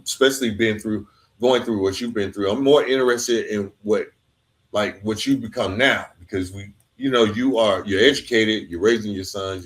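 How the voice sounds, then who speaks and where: Low-voiced man interviewing, halting and reflective. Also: low-quality recording. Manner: halting, reflective, gently affirming. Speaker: podcast host, interviewer. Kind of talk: conversational interview.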